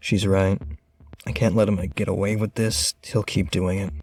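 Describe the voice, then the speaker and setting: A man playing a brooding rich teenage boy in an audio drama. The voice sits low, and the delivery is earnest and a little hesitant. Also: level tone, normal speed, a little mellow, soft background music. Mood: brooding resolve.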